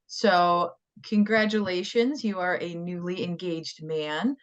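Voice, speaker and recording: A woman's voice: lowish voice, fairly even intonation, slow pace, clear, resonant tone, highs cut off. A woman hosting a college sports interview, asking questions in an upbeat, friendly way. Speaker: interviewer host.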